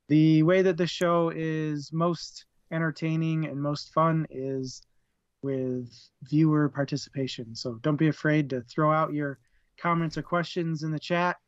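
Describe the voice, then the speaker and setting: A man's voice: a little high, no room sound, lo-fi sound. A man co-hosting a live book discussion stream, chatty and laughing often, sometimes tripping over his words. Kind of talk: casual live-stream chat, plugs and rundown.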